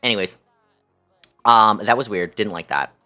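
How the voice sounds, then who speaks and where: A man's voice: on the low side, normal speed, sharp tone, smooth, faint music behind. A man chatting casually on a livestream in a sassy, campy, slangy way peppered with swearing. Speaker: live streamer.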